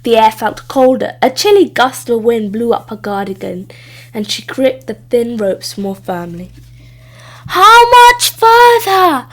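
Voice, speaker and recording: A woman reading a children's story aloud in expressive narration. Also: fairly high pitch, normal speed. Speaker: storybook reader.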